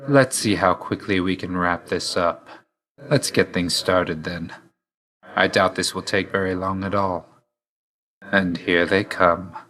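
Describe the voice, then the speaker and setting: A man's voice: low voice, fairly bright tone. A man voicing a stern, brooding young god of death in a video game, speaking in a curt, restrained, solemn way with dry understatement. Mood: cold, menacing. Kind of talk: scripted video game voice lines.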